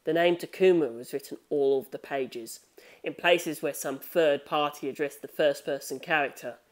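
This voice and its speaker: A woman's voice: sits low, normal speed. A woman reading scripted novel narration aloud in the manner of an audiobook. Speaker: audiobook reader.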